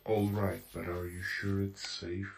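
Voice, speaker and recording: A man's voice: sits low, level tone, a little slow, slight background noise. A man playing a naive, computerized cyber humanoid in an audio drama, speaking in stilted, literal, robotic phrases. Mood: puzzled, literal. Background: loud dramatic music.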